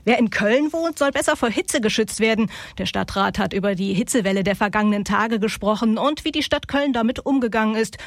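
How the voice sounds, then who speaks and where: A woman presenting regional news on the radio in a measured, scripted studio read. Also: a little high, no room sound. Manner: measured, even delivery. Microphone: studio microphone.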